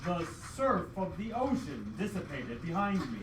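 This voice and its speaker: A man's voice: sits high, sing-song, moderate pace. A man playing a heroic adventurer who narrates his dragon quest as a solemn, melodramatic old-time radio play. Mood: brave, dramatic. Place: live theatre stage with audience. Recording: echoey live hall, distant mic, noisy.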